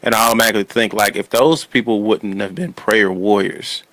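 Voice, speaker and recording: A man's voice: lowish voice, brisk, fairly bright tone, no room sound, low-quality recording. A man hosting a talk show in a casual, conversational style, often repeating his phrases.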